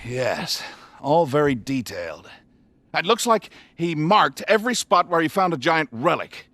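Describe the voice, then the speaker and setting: Bright, excitable scientist. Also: male voice, a little high, measured pace, no room sound, exaggerated voice. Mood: eager. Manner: exclamatory, genteel. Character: eccentric, excitable male scientist, the Doctor.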